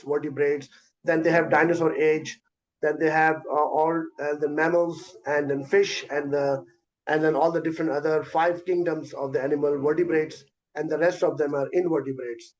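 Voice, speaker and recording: Man w answering questions fast n hesitant, kinda highish voice, muffled call sound. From South Asia. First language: Urdu. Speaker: guest presenter. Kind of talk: answering questions in an online event. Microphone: video call.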